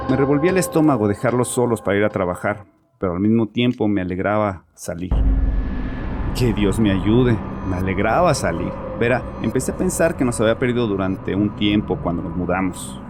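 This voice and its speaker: An actor playing a tormented, guilt-ridden father in a horror audio drama, confessing to a psychiatrist in an agitated, defensive, halting way. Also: on the low side, close to an everyday voice. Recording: music behind the voice.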